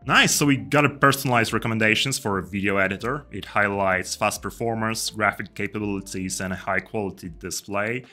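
Bright, low-pitched young man presenting a tutorial. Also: a little fast, few pauses. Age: young adult. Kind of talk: step-by-step tutorial narration. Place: indoor room. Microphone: desk microphone close to the speaker. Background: music.

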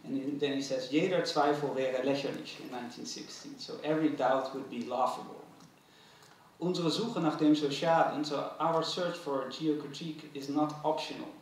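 A man's voice: a little high, fairly even intonation, moderate pace. A man in his thirties with a Dutch accent, answering a lecture as a curator in thoughtful, hesitant academic talk with many fillers. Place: large museum auditorium. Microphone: stand mic at table. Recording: very echoey hall, mic from a distance.

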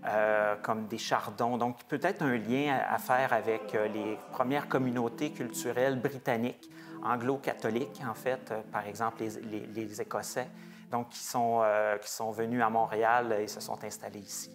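A man in his forties, a museum research director, explaining the history of a chapel's stained glass in a measured, hesitant way with frequent fillers. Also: medium pitch, steady tone, music in the background. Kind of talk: explanatory heritage talk. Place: small wood-paneled chapel, indoors.

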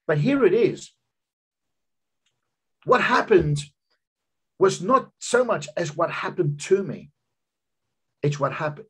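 A man in his fifties, a business coach, giving an earnest seminar talk over slides on a video call from a home office. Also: breathy edge. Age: 50s. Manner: earnest, personal storytelling pitch with emphatic rises. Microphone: video call webcam.

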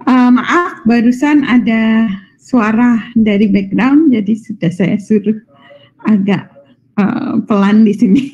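Slow-paced, higher-voiced Indonesian woman lecturing. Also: darkish tone, husky. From Malang, Indonesia. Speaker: guest lecturer, university professor. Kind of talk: informal, improvised online lecture. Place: indoors on a video call. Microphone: video call microphone. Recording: slightly dull video call audio.

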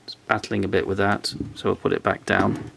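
A man with a low, gravelly voice talks through the controls of an old device at his workbench. He explains each part step by step in a relaxed way, thinking aloud as he goes. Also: brisk, a little hiss or hum. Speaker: electronics restorer. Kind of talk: hands-on show-and-tell. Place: workbench indoors.

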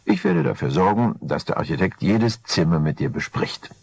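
A man voicing a smooth, scheming husband with a gravelly voice, ingratiating and calculating, in an audiobook crime story. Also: low-pitched, wildly swooping pitch, normal speed, exaggerated voice. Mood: smiling, accommodating.

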